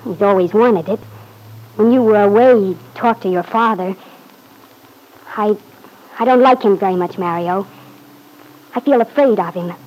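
An actress playing a devoted, anxious young wife in an old-time radio drama, pleading earnestly. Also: female voice, mid-range voice, moderate pace, long pauses, dull audio, lightly acted. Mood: worried, probing. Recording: husky-sounding vintage radio recording.

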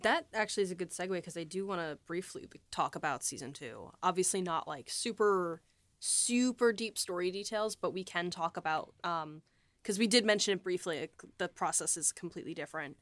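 A woman's voice: lowish voice, a little fast, fairly bright tone, dry recording. A woman podcast host talking in a casual, conversational style. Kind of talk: casual chat.

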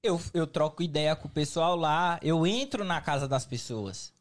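A man's voice: fairly high pitch, moderate pace. A Brazilian man in a casual podcast chat, telling stories with plenty of slang. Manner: slangy storytelling, relaxed.

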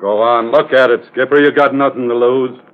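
An actor as an easygoing cynical crook, old radio. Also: male voice, mid-range voice, steady tone, fairly quick, close to an everyday voice. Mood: sly, needling. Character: smooth-talking, casually cynical crook's accomplice. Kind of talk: 1940s-50s radio crime drama acting. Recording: narrow, slightly noisy old radio recording.

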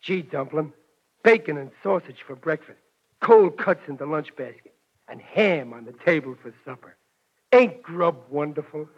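High-voiced, blustering radio dad. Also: male voice, animated, normal speed, breathy edge. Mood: hearty. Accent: American. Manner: folksy, bumbling, boastful. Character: blustering, well-meaning working-class father and war plant worker. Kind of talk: 1940s radio comedy acting. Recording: dull vintage radio recording.

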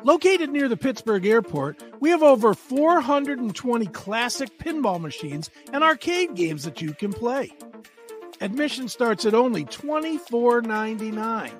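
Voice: medium pitch, very animated, normal speed, faint music behind. An announcer reading an upbeat promotional spot for an advertisement. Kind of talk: upbeat commercial read.

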